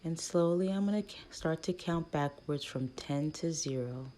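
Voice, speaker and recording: A woman leading a guided meditation in a gentle, hushed manner, speaking in short soothing phrases with long pauses between them. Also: deep voice, a little hiss or hum.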